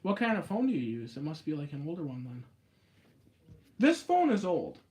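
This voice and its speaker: A man with a slightly higher-than-usual voice hosts a live stream and chats casually with his viewers. He rambles from point to point, and his pitch jumps up sharply on the words he stresses. He talks straight into a phone. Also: wildly swooping pitch, moderate pace, a little mellow. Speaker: YouTube live-stream host.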